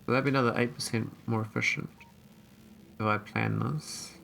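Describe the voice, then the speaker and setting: A man talks through his game in a loose, wandering way, trailing off into fillers as he works things out. His voice is gravelly and bright, and a faint hum sits behind it. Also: medium pitch, fairly even intonation, normal speed. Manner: thinking aloud, rambling with fillers. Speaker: gameplay streamer. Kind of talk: casual let's-play commentary.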